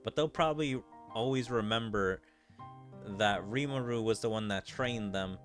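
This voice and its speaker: A man's voice: lowish voice, moderate pace, over music. A man reading game story aloud and adding casual, rambling commentary as a streamer. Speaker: gaming streamer.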